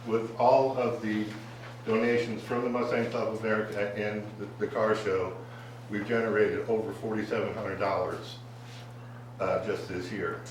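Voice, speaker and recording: A man's voice: fairly low pitch, little pitch movement, moderate pace, very noisy. A man from a car club presenting a donation at a council meeting, speaking hesitantly with many fillers. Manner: hesitant, full of fillers and restarts. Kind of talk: public remarks at a meeting. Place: council chamber. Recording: very echoey room, distant mic.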